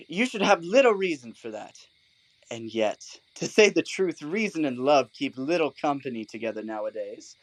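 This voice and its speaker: An actor playing a pompous, oblivious and stubborn weaver who fancies himself an actor, in a comic Shakespearean audio play. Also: male voice, a little high, fairly bright tone, no echo, rough recording. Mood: matter-of-fact. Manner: hammy, declamatory. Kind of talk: theatrical comic Shakespearean audio drama acting.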